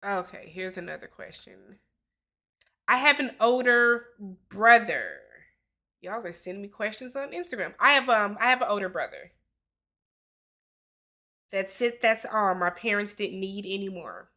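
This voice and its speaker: A woman's voice: medium pitch, expressive, unhurried, pauses often, pushed and bright. A woman in her forties from Wisconsin, hosting a casual livestream Q&A, chatting loosely, laughing often and reading viewer comments aloud. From Milwaukee, Wisconsin. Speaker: YouTube host.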